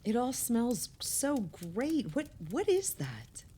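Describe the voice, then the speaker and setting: An actress playing an effusive, sentimental party guest in a naturalistic audio play, speaking haltingly. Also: female voice, on the high side, wildly swooping pitch, mellow, slight background noise, heavily acted. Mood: admiring. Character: gushing, sentimental woman guest at a holiday party.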